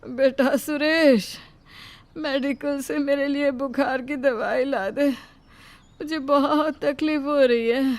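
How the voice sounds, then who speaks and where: A woman plays a frail elderly mother in a cartoon, begging softly and weakly. The voice sits high and light. She sounds sick and pleading. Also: fairly even intonation, slow pace, no room sound. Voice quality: weak, pleading. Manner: soft, begging. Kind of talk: Hindi cartoon dubbing.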